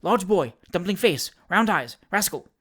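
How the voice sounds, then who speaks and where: A man's voice: high-pitched, expressive, a little slow, a bit bright, slightly rough, full character voice. A man voicing a glib, scheming rogue in a storybook, speaking in clipped fragments with theatrical, feigned gallantry. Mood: urgent, brisk. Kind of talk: dramatised storybook reading.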